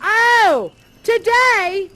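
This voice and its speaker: A woman's voice: extremely high pitch, animated, extremely slow, pushed and bright, pitched above the performer's own voice, exaggerated voice. A woman doing an eager young errand boy.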